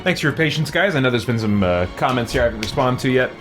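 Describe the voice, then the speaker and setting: A man streams casually, talking to his viewers with a halting delivery. He often pauses to search for the right word. Soft music plays faintly behind his mid-pitched voice. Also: lively intonation. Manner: hesitant, halting, searching for words. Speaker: streamer playing a game and answering viewer comments. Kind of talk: casual livestream chat.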